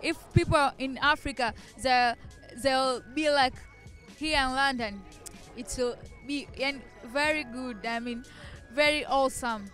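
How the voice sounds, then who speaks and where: A woman's voice: high voice, measured pace, fairly bright tone, faint music behind. A seventeen-year-old girl from Tanzania, a young reporter, speaking hesitantly. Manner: hesitant, simple phrasing.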